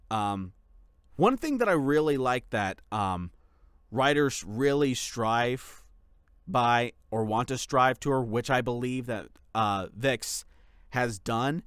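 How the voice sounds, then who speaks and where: A man's voice: medium pitch, no room sound, studio quality. A man talking casually and unscripted to his followers as a content creator, rambling and often restarting his sentences. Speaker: channel creator and voice actor giving a series update.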